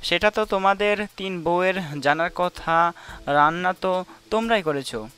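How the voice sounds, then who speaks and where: A man's voice: sits high, normal speed, fairly bright tone, clean tone, soft background music. A man playing a stern, commanding old grandfather in an audio story. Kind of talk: Bengali audio story acting.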